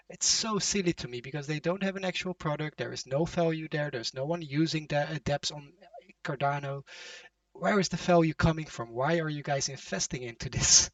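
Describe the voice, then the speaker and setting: A fairly high-voiced man talks bluntly and with strong opinions on a voice call. The sound is dry and a bit distant from the mic. He chats casually and laughs often. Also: no room sound. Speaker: crypto channel host. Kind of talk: casual chat.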